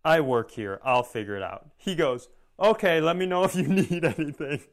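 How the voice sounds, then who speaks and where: A man's voice: on the high side, moderate pace. A man co-hosting a comedy podcast, telling stories in casual chat with sarcasm, swearing and acted-out dialogue.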